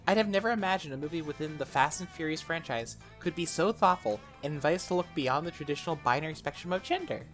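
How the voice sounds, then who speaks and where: Woman as a lively, lowish-voiced wry monster girl. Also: quick pace, few pauses, faint music behind. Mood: appreciative. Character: wry, patient young transgender female eldritch monster. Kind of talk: amateur visual novel dub.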